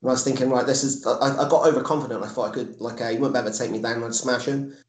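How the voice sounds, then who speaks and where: A man's voice: medium pitch, fairly even intonation, very fast. A man in his forties with an English accent, chatting casually as a podcast guest in a rambling, repetitive, blunt way. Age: 40s. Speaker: podcast guest, MMA coach and fighter. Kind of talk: casual chat. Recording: echoey room.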